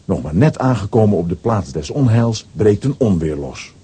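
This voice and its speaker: A man narrating a scripted children's story in an expressive storytelling manner. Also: lowish voice, normal speed, slight background noise.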